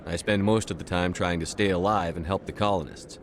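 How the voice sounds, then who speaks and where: A man's voice: sits low, steady tone, fairly quick, a little hiss or hum, close to an everyday voice. A man playing a composed, businesslike military commander in a video game, direct and restrained. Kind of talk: video game character acting.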